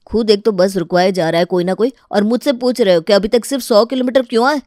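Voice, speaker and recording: A woman voicing a fed-up, rude male bus driver who snaps rapidly and threateningly at passengers in a story. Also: medium pitch, a little mellow, no room sound, clean studio sound, close to an everyday voice. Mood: irritated.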